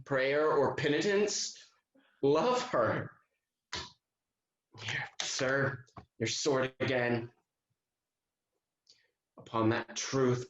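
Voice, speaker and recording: A performer playing a swaggering, ardent young gentleman soldier in a period comedy, with grandiose declamation. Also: medium pitch, reverberant, dull audio, natural voice. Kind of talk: theatrical Restoration play acting.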